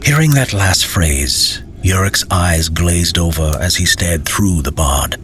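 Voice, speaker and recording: A man's voice: sits low, expressive, slow, fairly bright tone, a little hiss or hum. A man narrating a scripted fantasy story as an audiobook reader.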